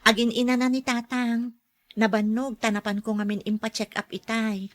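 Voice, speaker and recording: A woman's voice: a little high, level tone, moderate pace, lightly acted. A woman playing a humble, grateful poor cousin who cares for her father, in an Ilocano radio drama. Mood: weary, humble.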